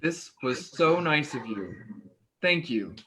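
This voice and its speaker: Man acting polite young guy, hesitant, stammering, evasive, higher-pitched, bright voice, stage play dialogue. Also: big pitch swings, slow pace, full character voice. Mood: flustered, polite. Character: awkward, polite young male hospital worker, evasive. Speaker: actor in a staged play reading. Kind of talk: naturalistic stage dialogue reading.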